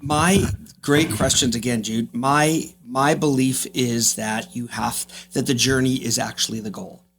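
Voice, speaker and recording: Man in his fifties, gravelly edge. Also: mid-range voice, steady tone, measured pace, fairly bright tone, low-quality recording. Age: 50s. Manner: emphatic, repeats phrases for effect. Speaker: podcast guest, real estate agent. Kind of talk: reflective interview talk.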